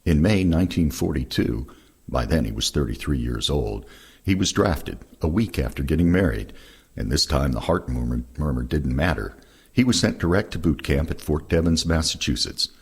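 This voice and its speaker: An older man with a gravelly, husky voice reading first-person war recollections aloud. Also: a bass voice, animated, moderate pace. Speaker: reader of veterans' oral histories.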